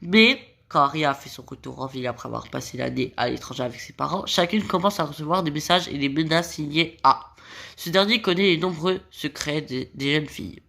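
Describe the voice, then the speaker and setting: Gravelly-voiced man with a cold. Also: on the high side, a little fast, sharp tone. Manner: reading a plot summary, stumbling and correcting himself. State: has a cold. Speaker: video creator. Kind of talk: series recap narration.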